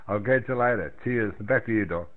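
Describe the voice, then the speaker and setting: Deep-voiced man on a tinny radio link. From outside the United States. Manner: blunt, folksy, colloquial. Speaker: amateur radio operator chatting with friends. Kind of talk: casual rambling radio chat. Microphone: radio microphone over internet link.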